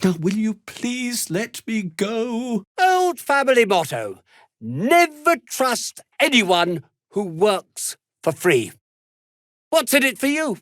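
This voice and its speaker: A man's voice: very high voice, wildly swooping pitch, slow, fairly bright tone, dry recording, studio quality, heavily acted. A British man playing a fussy, self-important and slippery toy maker in a video game, speaking in a haughty, theatrical, wordy way. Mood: defensive. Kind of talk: dramatic video game dialogue.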